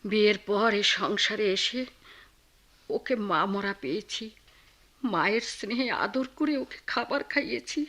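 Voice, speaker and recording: Bright-voiced, reproachful, outspoken wife. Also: female voice, mid-range voice, expressive, measured pace. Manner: reproachful, emphatic, indignant. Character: compassionate, outspoken wife scolding her heartless husband. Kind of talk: radio drama acting.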